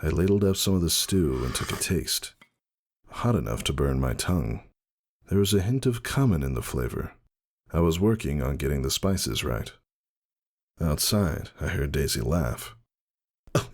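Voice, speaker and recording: A man giving a dramatic first-person story reading in a measured, wistful way. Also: extremely low pitch, expressive. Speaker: audiobook narrator. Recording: music behind the reading.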